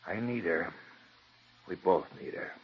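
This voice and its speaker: A man's voice: low voice, a little slow, breathy edge, exaggerated voice. An actor in 1940s radio acting, playing an exasperated theater man who talks emphatically, on a dull old radio broadcast. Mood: resigned.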